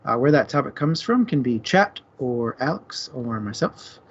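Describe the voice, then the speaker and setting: A man's voice: medium pitch, animated, normal speed, slightly rough. A man chatting casually and playfully as co-host of a book discussion show. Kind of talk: casual chat. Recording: dull, muffled lo-fi audio.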